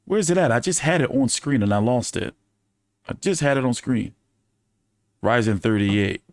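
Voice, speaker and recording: A man's voice: medium pitch, animated, moderate pace, darkish tone, no echo. A man hosting a casual fight-commentary live stream, talking in loose, profane, joking banter. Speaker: boxing commentary host.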